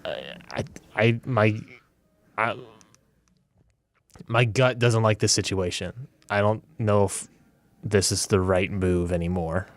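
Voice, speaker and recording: A man playing a conflicted adventurer who trusts his gut, speaking haltingly with stammers in a tabletop game. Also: on the low side, dry recording, voice pushed down. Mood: uneasy, hesitant. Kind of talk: improvised tabletop roleplay.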